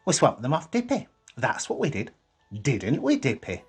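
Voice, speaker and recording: A man voicing a touchy, quick-thinking lad in a story. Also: mid-range voice, huge pitch swings, slightly rough, faint music behind, pitched above the performer's own voice, heavily acted. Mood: wary. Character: Harry, touchy, quick-thinking lad, son of stern mother.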